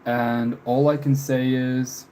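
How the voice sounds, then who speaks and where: A young man in his twenties talks casually on a live stream at an unhurried pace. He is halting and reflective, often letting sentences trail away. There is faint noise behind his voice. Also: medium pitch, flat delivery, dark tone. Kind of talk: casual live stream chat answering viewers. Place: room with window blinds, indoors.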